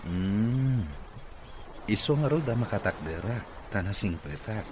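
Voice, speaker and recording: An actor with an Ilocano accent playing an earnest, grateful boss who is a recovering patient, in a radio drama. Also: male voice, fairly low pitch, expressive, measured pace. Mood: gentle. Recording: noisy radio drama recording.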